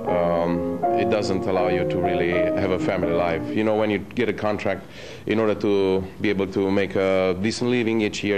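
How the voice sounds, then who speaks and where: A man in his thirties from Romania with a Romanian accent, a professional opera singer answering interview questions hesitantly with many pauses. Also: low-pitched. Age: 30s. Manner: hesitant, many filler pauses, light laughs. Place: opera rehearsal at a music school. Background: loud music from the rehearsal.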